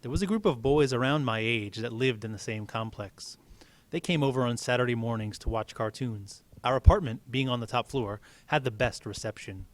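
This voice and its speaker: Male teacher, mid-pitched voice, reading a story aloud and chatting in asides. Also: moderate pace.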